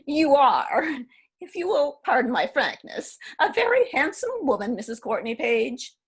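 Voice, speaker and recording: A woman's voice: high voice, very animated, unhurried, husky, full character voice. A woman playing a wistful, formal divorcée in an amateur stage reading. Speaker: reader in a play reading. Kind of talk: amateur readers' theatre acting.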